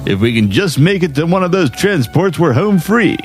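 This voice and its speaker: A plucky, melodramatic space rebel, played by a man in a read-along audio drama. The voice sits a little high and moves around a lot, earnest and hammy, with music clearly underneath. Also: very animated, moderate pace. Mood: confident. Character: plucky, melodramatic male rebel worker hero.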